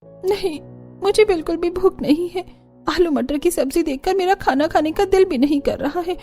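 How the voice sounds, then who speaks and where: High-pitched, fed-up young daughter-in-law. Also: female voice, normal speed, dark tone. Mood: tearful complaining. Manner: complaining, theatrical, sarcastic. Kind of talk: Hindi cartoon family-drama voice acting. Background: background music.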